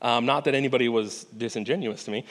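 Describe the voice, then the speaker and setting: A man's voice: medium pitch, quick pace. A man preaching a sermon as pastor, earnest and conversational, often restarting phrases and checking in with "right?". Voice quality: loud voice. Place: church sanctuary. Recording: hall reverb.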